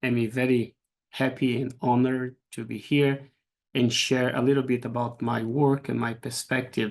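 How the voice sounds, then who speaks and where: Brazilian-accented man, middle-aged, gravelly voice, halting lecture delivery on a video call. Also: medium pitch. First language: Portuguese. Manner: halting, frequent restarts and repeated words. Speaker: guest speaker. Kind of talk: academic seminar lecture.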